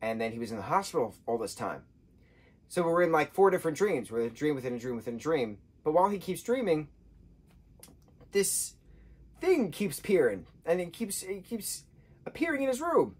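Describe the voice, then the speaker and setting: A man in his thirties, husky-voiced, giving an animated casual book review from a sofa indoors. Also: a little high, normal speed. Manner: animated, gestures a lot, acts out quotes in changing voices. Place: indoor room, sofa with patterned throw.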